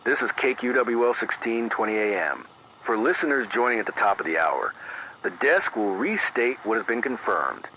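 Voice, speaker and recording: A man playing a grave, careful late-night emergency announcer, reading a formal scripted bulletin with measured, precise delivery through an AM radio filter. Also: medium pitch, lively intonation. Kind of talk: scripted analog horror emergency news bulletin. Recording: dull band-limited AM radio, faint noise.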